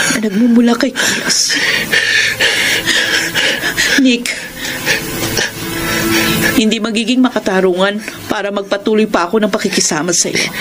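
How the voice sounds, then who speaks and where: A woman's voice: a little high, close to an everyday voice. A woman acting a torn, remorseful lover in a sentimental radio drama, speaking earnestly and melodramatically. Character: torn, remorseful woman returning to her true love. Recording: radio drama with loud music bed.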